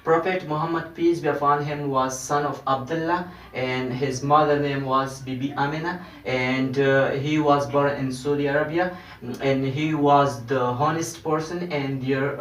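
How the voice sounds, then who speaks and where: A man's voice: a little high, a bit bright, slight background noise. A young man with a Pashto accent, answering in hesitant, simple English in a classroom practice. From Afghanistan. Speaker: student. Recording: echoey room, distant mic.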